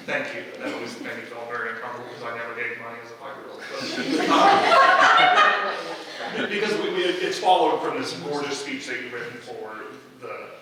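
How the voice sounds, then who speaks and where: A man's voice: mid-range voice, normal speed. A man giving casual, unscripted feedback as an audience member in a discussion. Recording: echoey room, distant mic, background noise.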